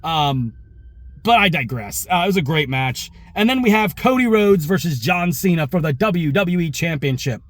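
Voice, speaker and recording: A slightly hoarse man hosting a reaction vlog, talking casually to viewers in a rambling, conversational way with asides and self-corrections. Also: fairly high pitch, animated, normal speed, dry recording. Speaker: wrestling reaction show host. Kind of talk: casual opinion commentary to viewers.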